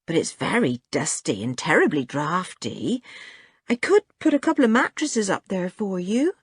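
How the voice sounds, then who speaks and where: A woman's voice: fairly high pitch, expressive, moderate pace, husky, pitched above the performer's own voice. A woman voicing a kindly, fussing household cook, homely and chatty, in a children's story. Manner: homely, chatty, gently scolding. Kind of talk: children's audiobook character voice.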